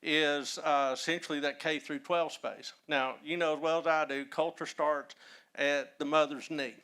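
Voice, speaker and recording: A man from north Texas with a Texan accent, a historian speaking as a guest and telling folksy stories with many pauses. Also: on the high side, steady tone, bright, forceful tone, clear, resonant tone. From Texas, Dallas-Fort Worth area. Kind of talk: casual storytelling talk.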